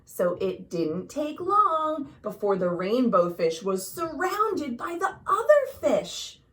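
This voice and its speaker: Children's librarian storytime read: woman, high voice, unhurried, dramatic delivery aimed at young listeners. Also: big pitch swings, fairly bright tone, distant mic. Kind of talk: expressive picture-book read-aloud for children. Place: at home.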